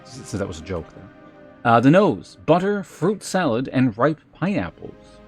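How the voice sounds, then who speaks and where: A man's voice: medium pitch, big pitch swings, a little slow, darkish tone. Male livestreamer over music. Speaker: live streamer host. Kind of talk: casual livestream chat and reading aloud.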